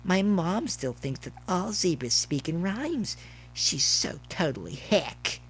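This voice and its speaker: A man's voice: high-pitched, very animated, takes it slowly, fairly bright tone, slight background noise, voice pushed up, exaggerated voice. A man voicing an affected, snobbish young pony mare in a story, gossiping in an exaggerated drawl. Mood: snobby, gossipy.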